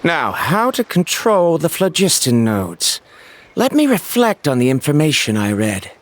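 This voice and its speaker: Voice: medium pitch, big pitch swings, measured pace, a little hiss or hum. An actor playing an earnest, cautious young dragon scholar in an anime-style video game, speaking in a formal, pedantic way. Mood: studious. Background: game music.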